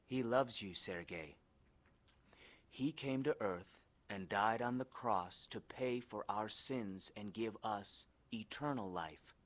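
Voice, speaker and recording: An actor playing a kindly, patient church pastor who speaks in a gentle, reassuring way in a dramatized story. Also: male voice, fairly low pitch, slow pace. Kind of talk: dramatized scripted dialogue.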